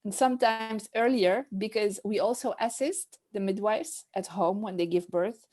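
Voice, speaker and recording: A woman's voice: mid-range voice, moderate pace, a little mellow. A middle-aged woman from France with a French accent, speaking as a maternity nurse in an interview, hesitant with many fillers and restarts. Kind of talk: casual interview talk.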